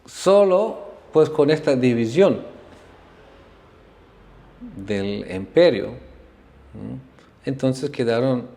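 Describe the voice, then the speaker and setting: Male spiritual teacher from Bosnia, unhurried lecturer with a gravelly, creaky voice. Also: medium pitch, a little mellow, slight background noise. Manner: unhurried, frequent tag questions. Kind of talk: lecture on religious history. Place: ashram class.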